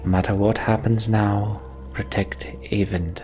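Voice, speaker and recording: A man voicing a grave, commanding female mystic from a fantasy game, in slow, dramatic delivery. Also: fairly low pitch, level tone, darkish tone, close to an everyday voice. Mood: grave, hushed. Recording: game music behind the voice.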